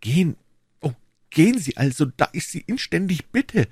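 Man voicing an anguished queen, husky and swooping. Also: fairly high pitch, very animated, moderate pace, a little mellow, no echo, studio quality, voice pushed up, heavily acted. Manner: imploring, agitated, regal. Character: anguished, torn queen, proud yet secretly in love.

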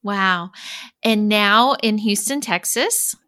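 A woman's voice: mid-range voice, takes it slowly, pushed and bright, no room sound, studio quality. A woman hosting a podcast as an executive coach, talking in an enthusiastic, upbeat way. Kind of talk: podcast interview.

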